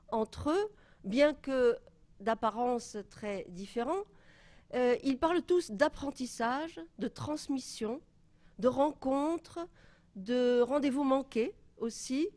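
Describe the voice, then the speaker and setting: A woman's voice: on the high side, a little mellow. A woman moderating a public talk, introducing guests formally with frequent hesitant fillers.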